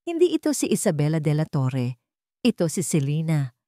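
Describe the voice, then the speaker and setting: A woman's voice: deep voice, big pitch swings, normal speed, warm tone, dry recording, studio quality. A woman reading scripted narration for a dramatic story, as its storyteller.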